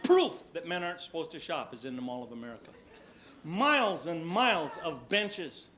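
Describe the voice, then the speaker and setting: High-voiced male comedian on stage. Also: normal speed. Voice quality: high, squeaky voice. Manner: animated, swinging from hushed asides to loud exclamations. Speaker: stand-up comedian. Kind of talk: live comedy storytelling to an audience. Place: live stage before an audience. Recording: live stage recording, noisy background.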